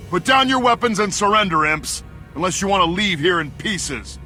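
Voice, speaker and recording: An actor playing a defiant male Republic soldier who shouts threats in a game cutscene. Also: sits high, expressive, normal speed, soft background music.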